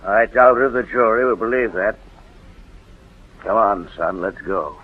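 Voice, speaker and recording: An actor playing a grave, knowing family doctor with a measured delivery in a 1940s radio drama. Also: male voice, fairly low pitch, husky. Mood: firm, accusing. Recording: muffled vintage radio recording.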